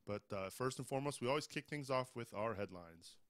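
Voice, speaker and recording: A man's voice: medium pitch, animated, fairly quick, a bit bright, no echo. A man co-hosts a tech news podcast and reads headlines in a loose, chatty way, with the odd filler and restart. He is just over a cold. The mic is close and dry, and faint music runs underneath. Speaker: podcast co-host. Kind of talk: casual tech news chat.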